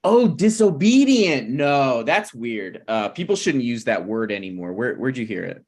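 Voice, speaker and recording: A man's voice: mid-range voice, very animated, measured pace, darkish tone. A man playing an awkward, meddling friend in a sitcom table read, hesitant and apologetic yet blunt. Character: awkward, opinionated, meddling male friend of a married couple. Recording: online video call table read.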